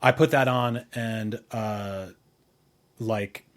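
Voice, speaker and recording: A man's voice: lowish voice, fairly even intonation, drawn-out words. Middle-aged man chatting casually, swearing freely. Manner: profane and informal. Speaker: podcast co-host. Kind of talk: casual, rambling chat.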